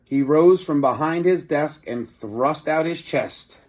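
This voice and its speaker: A man's voice: a little high, slow. A man reading a children's story aloud as narrator, with brief asides to the listeners. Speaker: storybook reader.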